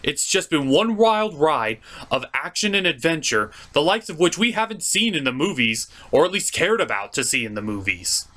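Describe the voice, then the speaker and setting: A man narrates a scripted comedic cartoon review as its host. His voice is bright and forceful, with very lively swings in pitch. The delivery is theatrical, jokey and emphatic. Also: moderate pace, few pauses.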